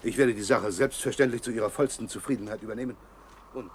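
Actor as fast-talking, servile male official, noisy recording. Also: lowish voice, natural voice. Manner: obsequious, formal. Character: deferential, eager-to-please male state servant. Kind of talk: German radio play acting.

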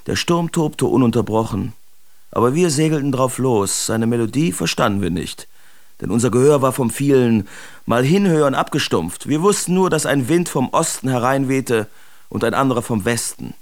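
Man as a mocking Dadaist artist, brash, theatrical recitation, crackling vocal fry, radio play. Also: medium pitch, animated, moderate pace, close to an everyday voice. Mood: fervent, reflective. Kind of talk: expressive literary radio drama recitation.

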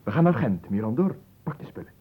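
A man's voice: medium pitch, animated, fast, breathy edge, narrow, tinny audio. An actor playing a bored, spoiled young nobleman in a radio drama, melodramatic, languid and pompous. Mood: dreamy, grandiose. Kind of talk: theatrical radio drama acting.

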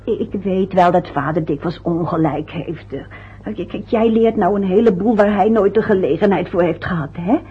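A woman's voice: medium pitch, moderate pace, breathy edge, phone-quality sound. An actress playing an anxious, fussy middle-aged mother in an old radio play, chatty and eager to please. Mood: fretful.

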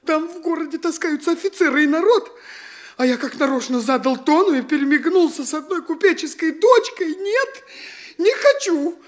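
An actor playing an indignant, cowardly, blustering young petty official in a theatrical comedy radio play, stammering and exclaiming petulantly. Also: male voice, very high voice, expressive, normal speed. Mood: haughty, nervous.

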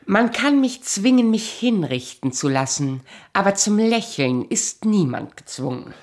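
A woman playing a proud, bitter abandoned wife in a radio drama, sharp-tongued, imperious and sardonic in a theatrical style. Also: medium pitch, big pitch swings, unhurried. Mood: bitter, reflective.

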